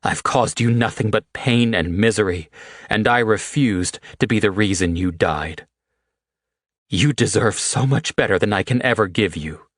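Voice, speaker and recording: A man voicing a gruff, guilt-ridden man who speaks softly and tenderly. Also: fairly low pitch, a little slow, no room sound, studio quality. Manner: soft, gruff.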